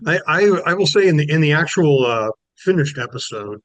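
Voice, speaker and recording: A man giving casual, appreciative commentary as a podcast co-host, halting and repeating words as he goes. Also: on the high side, animated, a bit bright, no room sound.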